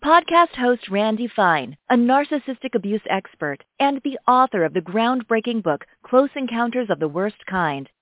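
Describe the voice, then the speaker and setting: Soothing woman announcer with lively pitch. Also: no room sound. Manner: soothing, expressive promo read. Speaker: show announcer. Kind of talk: scripted intro narration. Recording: dry, tinny phone-like sound.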